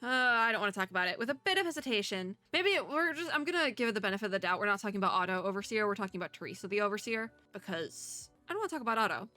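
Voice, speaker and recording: A young woman with a hoarse, worn voice, giving giggly, chatty commentary on a story game and reading its text aloud. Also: mid-range voice, sing-song, very fast, hardly any pauses, sharp tone, faint music behind. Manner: giggly, chatty, cheers on characters. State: hoarse, sore throat. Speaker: VTuber.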